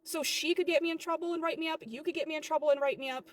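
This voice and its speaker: A woman's voice: high-pitched, expressive, brisk. A woman playing an exasperated, defensive sandwich shop employee in a comedy skit, argumentative and incredulous.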